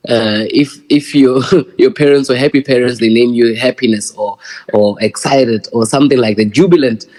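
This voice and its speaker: A man's voice: medium pitch, steady tone, normal speed, darkish tone, low-quality recording. A South African man in his thirties, a guest in a casual interview, friendly and laughing, often repeating words. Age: 30s. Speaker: poet, guest.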